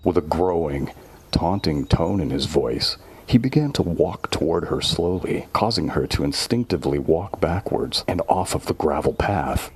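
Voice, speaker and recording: A man's voice: low voice, lively intonation, normal speed, darkish tone, breathy edge. A man giving scripted narration of a horror audio story.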